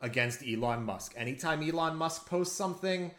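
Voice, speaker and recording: A man co-hosting a casual political podcast, telling a story in a rambling, animated way with many restarts. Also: a little high, normal speed, echoey room. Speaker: podcast co-host.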